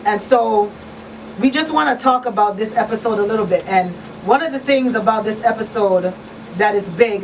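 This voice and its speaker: A middle-aged woman hosts a talk show in an earnest, conversational way, repeating phrases to drive them home. Her voice sits at an average pitch. She sounds a little away from the microphone, with faint room noise behind her. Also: recorded from a distance, rough recording. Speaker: radio talk show host. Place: small radio studio. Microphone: boom-arm studio microphone.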